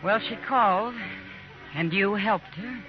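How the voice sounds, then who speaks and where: A woman plays a western marshal's knowing confidante. She speaks unhurriedly and pointedly, in 1950s radio drama style. The recording is dull, with music clearly behind her. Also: mid-range voice, takes it slowly, close to an everyday voice. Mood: gently probing.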